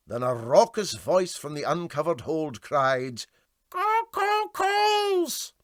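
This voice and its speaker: A man's voice: medium pitch, no room sound, clean studio sound. A Scottish man reading a comic literary story as scripted narration. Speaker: storyteller, audiobook reader.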